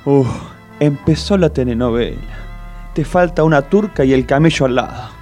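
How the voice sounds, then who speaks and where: A man's voice: mid-range voice, normal speed, mellow, faint music behind, lightly acted. An actor playing a teasing, skeptical man with an Argentine Rioplatense accent, sardonic and colloquial, in a comic radio drama. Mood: mocking. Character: teasing, skeptical male companion of the cook.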